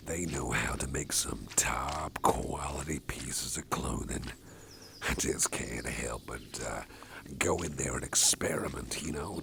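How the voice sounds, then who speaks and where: A man plays a huge, gruff but protective boss in an ASMR roleplay, rambling and chuckling as he goes. The voice sits extremely deep and gravelly, in a slow, unhurried drawl. Steady background noise with birds runs under it. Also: heavily acted. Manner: rambling, chatty, chuckles to himself. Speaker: boss character carrying the listener. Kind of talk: ASMR roleplay, personal attention.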